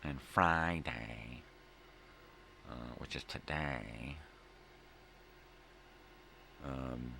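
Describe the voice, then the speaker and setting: A man's voice: extremely low pitch, extremely slow, vocal fry, long pauses. A middle-aged man in a rambling casual morning chat, with a laid-back stoner drawl and a habit of repeating himself. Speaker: host of a daily morning video log. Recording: noticeable background noise.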